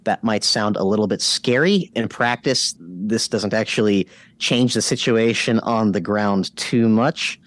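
A man's voice: fairly low pitch, unhurried, hardly any pauses, no room sound. A man hosting a news commentary show, speaking in an emphatic, argumentative way and giving his opinions from loose notes.

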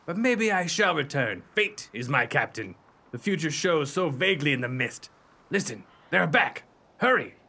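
Guy as mysterious gentle romantic pirate in radio drama, poetic, bright n husky, very expressive. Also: on the high side, normal speed, exaggerated voice. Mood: romantic, urgent. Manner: formal poetic delivery. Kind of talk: earnest theatrical radio acting.

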